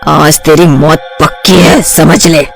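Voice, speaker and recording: A woman's voice: deep voice, fairly even intonation, slow, vocal fry, soft background music, pitched below the performer's own voice, exaggerated voice. Woman voicing a menacing headless witch. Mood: threatening. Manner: threatening, gloating.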